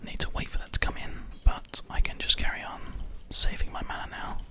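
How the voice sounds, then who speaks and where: A game streamer doing hushed ASMR play-by-play in an unvoiced whisper, close to a binaural microphone. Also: fairly quick. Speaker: host, gamer. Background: keyboard and mouse clicks.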